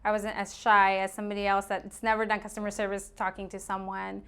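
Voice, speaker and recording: A woman's voice: mid-range voice, monotone, fairly quick, fairly bright tone, clear, resonant tone. A woman in her thirties from Arizona, a real estate agent talking openly and chattily as a podcast guest. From Arizona, USA. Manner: open, chatty, laughs easily. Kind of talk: casual podcast interview.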